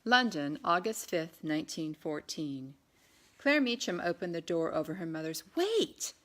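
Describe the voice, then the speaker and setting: Woman, slightly low voice, audiobook narration, author reading her own story. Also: expressive, normal speed, fairly bright tone.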